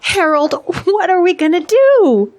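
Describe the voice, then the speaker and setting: An actress playing an anxious, fretful pastor's wife in an audio drama, worried and emotional. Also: female voice, very high voice, sing-song, a little slow, a little mellow, exaggerated voice.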